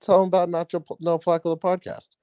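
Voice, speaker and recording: A man co-hosting a podcast, chiming in with quick jokes and frequent laughter. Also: high-pitched, flat delivery, dark tone, smooth, dry recording, dull audio. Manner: laughs often, quick joking asides. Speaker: podcast co-host. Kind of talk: casual podcast banter.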